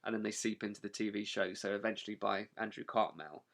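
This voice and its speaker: Man, lowish voice, crisp bright tone, casual chat, wry animated explainer, podcast co-host. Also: quick pace.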